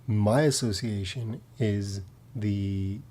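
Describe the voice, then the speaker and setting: A man's voice: fairly low pitch, slow, warm tone, slight background noise. A man co-hosting a casual studio conversation, laid-back and reflective.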